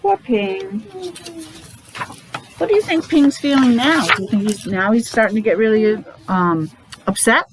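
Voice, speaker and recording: A woman, a teacher reading a picture book aloud to young children, pausing to explain and ask questions in simple words. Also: fairly high pitch, expressive, background noise. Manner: child-directed, asking questions, repeating words for emphasis. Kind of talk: animated read-aloud with casual explanatory asides.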